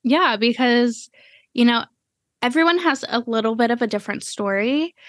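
A woman hosting a podcast in casual interview chat, talking quickly with lots of fillers. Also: a little high, no room sound, studio quality. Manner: chatty, quick, with frequent "you know" fillers. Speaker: podcast host.

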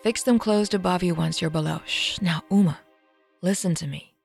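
A woman voicing a calm, wise elderly aunt with fangs, speaking in a hushed, breathy, soothing way. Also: lowish voice, normal speed, faint music behind. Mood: tender. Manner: soft, steady, soothing.

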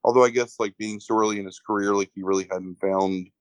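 A man's voice: lowish voice, steady tone, no echo. Congested man rambling through a film summary. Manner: halting, many fillers and "like". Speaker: movie podcast co-host. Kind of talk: casual film review chat.

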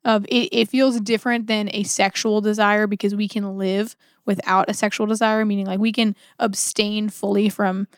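A woman's voice: mid-range voice, little pitch movement, normal speed, hardly any pauses, clean tone, no room sound, clean studio sound. A woman co-hosting a podcast, reflecting on religious ideas conversationally, with frequent use of "like". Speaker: podcast co-host. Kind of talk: casual religious discussion.

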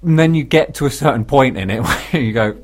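A British man co-hosting a radio show, chatting casually and telling self-deprecating comic stories in an animated way. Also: medium pitch, a little fast. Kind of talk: casual comic chat, anecdote.

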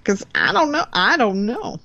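A woman's voice: medium pitch, very animated, moderate pace, bright, forceful tone, dull audio. A woman co-hosting a podcast, chatting casually in short, agreeable replies. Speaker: podcast co-host.

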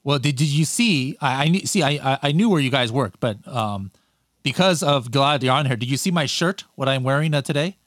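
A man's voice: mid-range voice, expressive, a little fast, a bit bright, no echo, clean studio sound. A man hosting a podcast in casual interview chat, upbeat and talkative, with frequent restarts. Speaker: podcast host.

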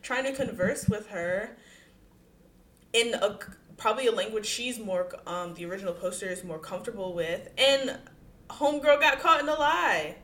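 Woman podcast co-host, sassy and blunt, bright forceful voice, roomy echoey sound. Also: mid-range voice, expressive, moderate pace. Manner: blunt, sassy, exasperated commentary. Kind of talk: casual chat reacting to a post.

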